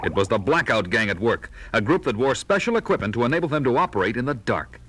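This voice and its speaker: An actor playing a stern, heroic masked crime fighter, grave and declamatory in a 1970s superhero record drama. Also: male voice, mid-range voice, sing-song, quick pace, very noisy, close to an everyday voice. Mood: grave, narrating. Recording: loud music bed under voice.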